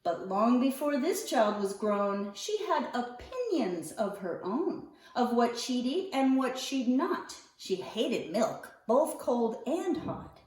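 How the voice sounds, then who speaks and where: A woman reading a picture book aloud to young children, expressively, with deliberate phrasing and drawn-out key words. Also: on the high side, sing-song, darkish tone. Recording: roomy echo, distant mic.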